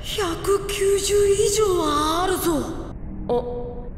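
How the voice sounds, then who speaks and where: An excitable, very high-voiced young boy. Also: drawn-out words, reverberant, long reverb tail, a little hiss or hum, full character voice. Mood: puzzled awe. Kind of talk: Japanese video game cutscene acting.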